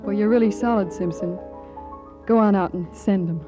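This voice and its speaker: A woman's voice: medium pitch, normal speed, lightly acted. An actress playing a devoted young woman in love, firm and straightforward, in 1940s radio comedy. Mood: encouraging. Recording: old radio broadcast with loud music.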